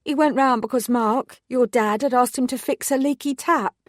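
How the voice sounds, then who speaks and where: A woman playing an excitable gossipy woman in her forties. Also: high voice, moderate pace, no echo, clean studio sound, pitched above the performer's own voice, natural voice. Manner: effusive, breathless, gossipy. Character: gushing mid-forties woman, excitable and chatty.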